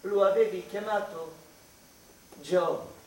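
Mother reminiscing about her son, played by a man, measured radio-theatre phrasing, fairly high male pitch, echoey with faint noise. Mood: tender reminiscing. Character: mother recalling her grown son's past. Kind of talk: theatrical radio drama monologue. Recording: distant echoey room with faint background noise.

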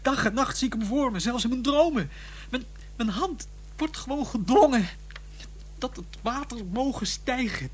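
An actress playing a distraught, obsessive young artist, stammering and insistent, in a radio play. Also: female voice, a little high, lively intonation, moderate pace, lightly acted. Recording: faintly noisy, humming radio play recording.

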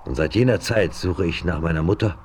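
Man playing a deep-voiced earnest frontiersman. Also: a little fast, a little mellow, a little hiss or hum, natural voice. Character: earnest frontiersman searching for family. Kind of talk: 1970s radio play acting.

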